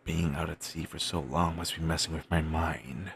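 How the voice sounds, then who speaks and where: A man playing a wistful, self-doubting wandering bard in an intimate audio roleplay, musing in courteous, formal phrases with thoughtful pauses and a gravelly, husky voice. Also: extremely low pitch, steady tone, a little hiss or hum. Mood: melancholy musing. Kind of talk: intimate one-sided audio roleplay acting.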